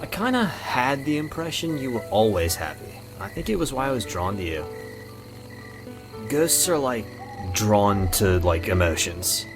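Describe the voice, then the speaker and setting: A man's voice: fairly low pitch, big pitch swings. A man voicing a friendly, awkward young ghost in a visual novel, rambling and correcting himself in an earnest way. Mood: awkward, explaining. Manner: halting, rambling, self-correcting. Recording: music and night insects behind. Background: music, crickets and frogs.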